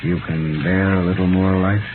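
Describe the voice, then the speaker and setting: An actor playing a grim, bitter Parisian wine-shop keeper and former servant, terse and brooding, in a 1930s radio drama. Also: male voice, deep voice, little pitch movement, moderate pace, over music, close to an everyday voice. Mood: patient, coaxing. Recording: noisy, narrow-band 1930s radio recording.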